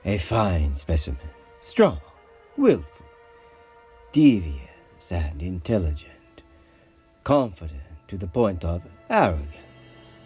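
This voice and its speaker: A self-assured warlord dude, grand and measured audio drama villain, really dynamic pitch. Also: male voice, big pitch swings, darkish tone, husky, soft background music, full character voice. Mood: appraising, suspicious. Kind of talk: dramatic audio comic acting.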